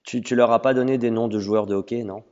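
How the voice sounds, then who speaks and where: A man's voice: medium pitch, steady tone, fast talker, darkish tone. Man interviewing over a reverberant call. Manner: friendly interviewer with frequent hesitation sounds. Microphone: audio call. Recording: lo-fi audio with a long reverb.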